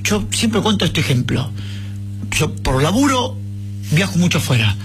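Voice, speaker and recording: A man's voice: on the high side, very animated, normal speed, faint music behind. A man with an Argentine Rioplatense accent hosting a radio interview, chatty and telling personal anecdotes. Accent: Rioplatense Spanish. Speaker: radio host.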